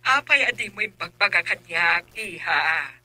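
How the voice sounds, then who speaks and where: Middle-aged mother, pleading, emotional, piercingly bright, husky, radio drama. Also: on the low side, animated, a little slow, exaggerated voice. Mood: insistent. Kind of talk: Filipino radio drama acting.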